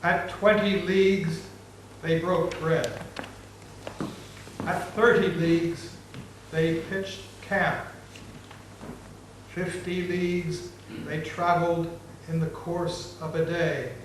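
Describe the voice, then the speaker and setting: A man narrating an ancient epic as a dramatic reading, solemn and measured. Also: on the high side, little pitch movement. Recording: very echoey, distant, noisy room recording.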